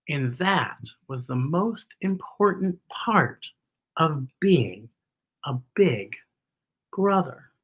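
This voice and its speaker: A children's story-time host reading a picture book aloud with expressive, exaggerated delivery and chatty asides to young listeners. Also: mid-range voice, husky.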